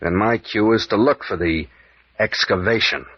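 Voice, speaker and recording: Wry, husky adventurer captain, old radio. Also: male voice, on the low side, moderate pace. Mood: probing. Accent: American. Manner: needling, interrogating, sardonic. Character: bold, wry, probing male adventurer captain. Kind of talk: old-time radio serial acting. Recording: muffled, echoey vintage radio recording.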